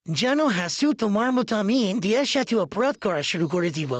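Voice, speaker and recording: A man's voice: high voice, lively intonation, brisk, fairly bright tone, dry recording. A man voicing a boastful, lovestruck male political leader in a comic cartoon parody, wooing and bragging in exaggerated style. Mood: boastful, menacing.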